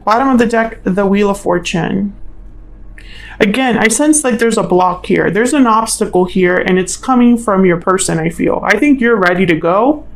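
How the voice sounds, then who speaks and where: Woman with creaky voice reading tarot. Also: mid-range voice, normal speed. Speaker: tarot reader, channel host. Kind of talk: informal spoken tarot reading.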